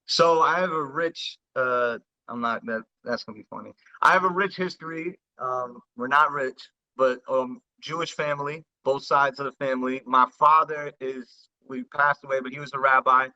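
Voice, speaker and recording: A man in his thirties from the New York and New Jersey area, a rapper telling his story in a casual interview, earnest and halting with many restarts. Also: mid-range voice, sharp tone. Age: 30s. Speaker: rapper guest. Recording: dull lo-fi sound.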